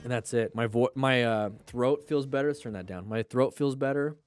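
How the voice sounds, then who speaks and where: A man's voice: mid-range voice, moderate pace. A congested man talks through a casual livestream with a stuffy, nasal voice. He rambles in loose asides between motorcycle clips and plugs a sale. Faint music plays behind him.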